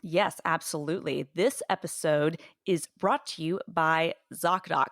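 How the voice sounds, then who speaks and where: A woman's voice: low voice, fairly even intonation, unhurried, fairly bright tone, dry recording, studio quality. A woman co-hosting a podcast, reading a sponsor spot in a chatty way with jokey asides. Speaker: podcast co-host. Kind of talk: casual podcast chat and conversational ad read.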